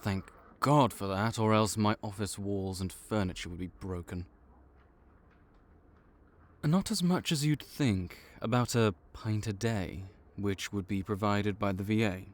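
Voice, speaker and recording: A man playing a wry, low-voiced vampire clerk. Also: measured pace, lightly acted. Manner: matter-of-fact, wry, bureaucratic. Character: male vampire intake officer, dry, patient. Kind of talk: audio roleplay.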